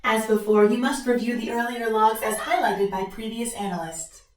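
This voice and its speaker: A woman's voice: a little high, normal speed, close to an everyday voice. A woman playing a formal, commanding facility overseer in an audio drama, clipped and businesslike. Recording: echoey announcement.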